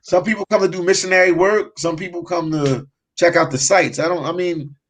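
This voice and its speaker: A man hosts a relaxed live stream about travel, his voice sitting a little high for a man. He reads viewer questions aloud and laughs easily at his own points. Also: a little fast. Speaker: host of a live travel chat. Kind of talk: casual live stream chat.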